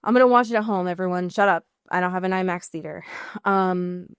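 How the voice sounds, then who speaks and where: An American woman with a slightly low voice chats as a podcast co-host. She is emphatic and sweary, circling back to fix her own sentences. The sound is close and dry. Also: brisk, smooth, no room sound. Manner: emphatic, sweary, rambling with self-corrections. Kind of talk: casual TV and film recap chat.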